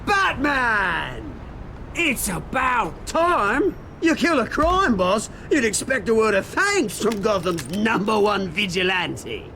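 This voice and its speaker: A man's voice: sits high, big pitch swings, slow, sharp tone, slight background noise, heavily acted. A man playing a cocky, theatrical crime boss villain in a video game, sarcastic and mocking. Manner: sarcastic, taunting. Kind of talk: dramatic video game acting.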